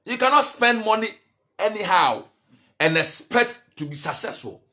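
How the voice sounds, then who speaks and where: A man giving a motivational finance talk, full of restarts and rhetorical questions, and growing louder and higher when he urges his listeners. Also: expressive, moderate pace. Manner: rises in pitch and force when urging. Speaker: finance coach.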